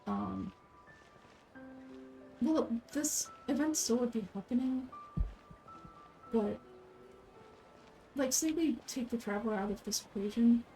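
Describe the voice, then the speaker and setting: Woman game streamer thinking out loud, soft mellow voice, kinda halting, music in back. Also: a little high, creaky, low-quality recording. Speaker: game streamer reading all characters aloud. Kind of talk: casual game commentary.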